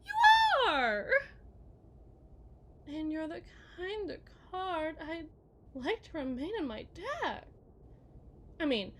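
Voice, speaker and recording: A woman playing a possessive, manipulative, lovesick kidnapper wife in a theatrical, condescending ASMR roleplay monologue, drawing out her words and swinging from hushed to emphatic. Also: sits high, a little hiss or hum. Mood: mocking, amused.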